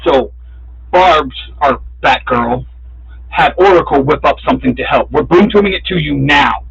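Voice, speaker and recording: A man playing a masked superhero calling in over a radio, brisk, friendly and businesslike. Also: fairly high pitch, gravelly, fairly bright tone, breathy edge, heavily acted. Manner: radio call-out phrasing, brisk and familiar. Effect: radio filter. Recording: dull, muffled radio transmission.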